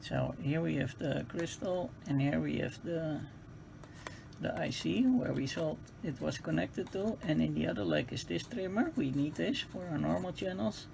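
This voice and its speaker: A man giving an informal hands-on technical tutorial, speaking haltingly as he searches for words. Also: fairly high pitch, vocal fry, darkish tone, slight background noise, rough recording. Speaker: presenter of a radio repair tutorial.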